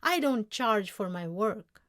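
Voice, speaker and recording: A woman's voice: medium pitch, expressive, slow pace, fairly bright tone. A woman voicing an eager, earnest young Mexican-American boy in a storybook read-aloud, pleading and insistent.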